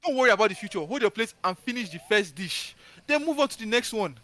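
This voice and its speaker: Young man, high voice, bossy kitchen instructions. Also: animated, fast, sharp tone. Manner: bossy, directing others while cooking.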